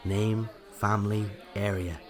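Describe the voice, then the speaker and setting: A man's voice: on the low side, level tone, unhurried, crowd noise. A man with an Irish accent narrating a scripted first-person story.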